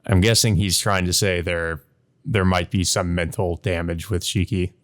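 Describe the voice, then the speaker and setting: Low-voiced man reading a visual novel aloud. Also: fairly even intonation, normal speed. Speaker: let's play host.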